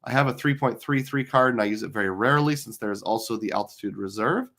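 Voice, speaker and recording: A middle-aged man with a hoarse, tired voice, hosting a live stream in casual chat, rambling with lots of fillers. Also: fairly low pitch, quick pace, a bit bright. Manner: chatty, rambling with many fillers. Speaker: livestream host.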